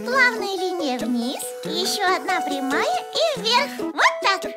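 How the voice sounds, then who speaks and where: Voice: very high voice, very animated, slow, exaggerated voice. A performer voicing a tiny, eager girl ladybug, childlike and bubbly, helping with a drawing lesson in a children's cartoon. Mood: cheerful, instructive. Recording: loud children's music behind.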